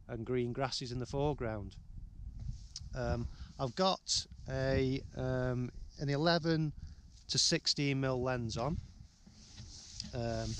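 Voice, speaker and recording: Halting middle-aged man on a moor. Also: mid-range voice, a little mellow, a little hiss or hum. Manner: hesitant, many ums and restarts. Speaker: landscape photographer vlogger. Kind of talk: casual on-location vlog talk. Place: open moorland outdoors. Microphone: camera held close to face.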